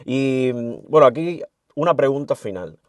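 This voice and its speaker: Male interviewer, polite and formal, steady mid voice. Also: medium pitch, no echo, studio quality. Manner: formal, measured, emphatic questions.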